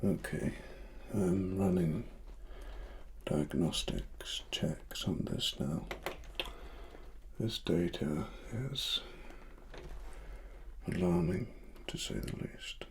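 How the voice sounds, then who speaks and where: A British man performing a softly spoken ASMR roleplay as a grave cyberpunk investigator, breathy and partly whispered, with deliberate pauses. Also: deep voice, expressive, a little hiss or hum. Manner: slow, deliberate, hushed, with long pauses. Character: grave cyberpunk investigator uncovering corporate wrongdoing.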